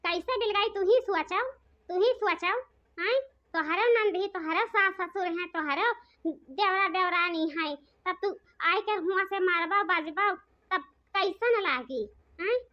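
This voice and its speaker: A very high, processed cartoon voice acted out by a performer plays a village woman who nags and coaxes. Her rural eastern Hindi-belt accent comes through slightly muffled, lo-fi audio. Phrases get repeated insistently. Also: unhurried. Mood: reproachful. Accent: eastern Hindi belt (Bhojpuri-Awadhi region). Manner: nagging, coaxing, repetitive. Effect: pitched-up voice effect. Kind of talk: comedic cartoon dialogue.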